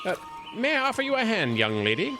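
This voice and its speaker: A man's voice: high-pitched, wildly swooping pitch, brisk, over music. An actor playing a pompous, eccentric old man who rules his own island, with grandly formal, courteous yet menacing speech in an audio drama. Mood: unctuous politeness. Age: older.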